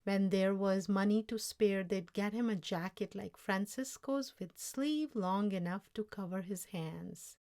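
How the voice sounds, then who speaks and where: A woman narrates a children's story from the page. Her pace is slow and measured, and her reading is expressive, with a mid-range pitch. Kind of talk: scripted children's story narration, read aloud.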